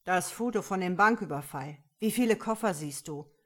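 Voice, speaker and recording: A woman voicing a surly, cocky male cop with a gruff, vulgar, dismissive manner in an audiobook. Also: on the low side, expressive, moderate pace, a bit bright. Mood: smug.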